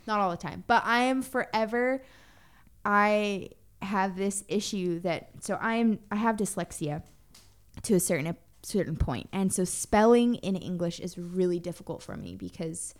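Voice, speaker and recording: A woman's voice: medium pitch, expressive, moderate pace. A young woman chatting casually as a podcast co-host, with lots of filler words. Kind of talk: casual chat.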